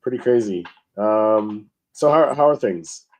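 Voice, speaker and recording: A young man co-hosting a podcast in casual chat, slightly hoarse from losing his voice, relaxed and hesitant with frequent ums. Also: on the low side, a little slow, low-quality recording. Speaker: podcast co-host.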